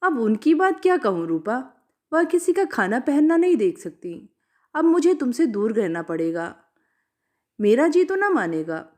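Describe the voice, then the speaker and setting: Lady storyteller as a young village guy in love, earnest n pleading, kinda meek but defiant, pitched high. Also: big pitch swings, a little slow, darkish tone. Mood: tender, troubled.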